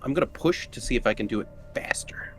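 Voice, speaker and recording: A man's voice: medium pitch, expressive, quick pace, fairly bright tone, whispered, soft background music. A man playing a tabletop game, chatting casually with the group as a player.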